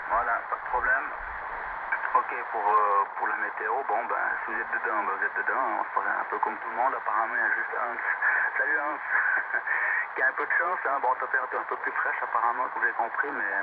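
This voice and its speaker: A man with a Swiss French accent, an amateur radio operator chatting casually over shortwave. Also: mid-range voice. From Switzerland. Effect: shortwave radio transmission. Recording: distant, muffled, very noisy shortwave radio reception.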